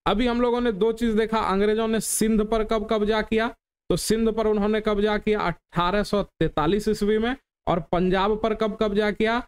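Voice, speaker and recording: High-pitched male teacher. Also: steady tone, normal speed, clean tone. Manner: instructive, repeats key points and asks rhetorical questions. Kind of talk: exam question lesson, explaining to students.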